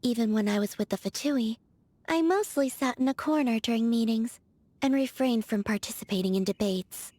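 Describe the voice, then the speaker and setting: An actress voicing a soft-spoken, aloof young woman in a game, with a breathy, whispery manner and slow, languid delivery. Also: fairly high pitch, no echo, natural voice. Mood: musing. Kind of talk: video game character voice lines.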